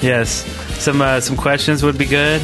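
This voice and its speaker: A man's voice: mid-range voice, flat delivery, a little slow, music in the background. A man hosting a gaming podcast with casual, off-the-cuff chat. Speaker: podcast host.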